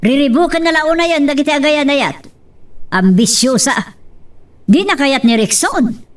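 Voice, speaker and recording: A scornful, meddling mother from a family melodrama, played by a woman, speaking with an Ilocano accent. Her voice is high and sounds set back from the microphone. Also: expressive, normal speed, distant mic. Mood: bitter, scheming. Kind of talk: Ilocano audio melodrama acting.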